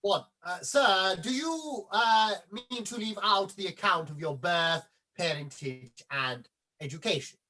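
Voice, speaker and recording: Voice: mid-range voice, lively intonation, measured pace, sharp tone. A performer playing a vain, fussy playwright who is indignant and self-satisfied, in a satirical stage comedy. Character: fussy, vain, exasperated playwright overseeing a rehearsal.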